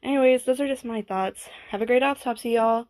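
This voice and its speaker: A woman's voice: a little high, a little fast, a little mellow. A woman's voice in a listener voice message, speaking earnestly and personally from prepared thoughts. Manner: earnest, heartfelt.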